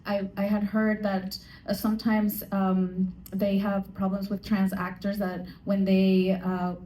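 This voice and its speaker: A woman interpreting live on a premiere panel, with many pauses and fillers. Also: mid-range voice, level tone, warm tone. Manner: hesitant, filler-heavy. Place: theater stage at a premiere. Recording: distant echoey theater mic, faint hum.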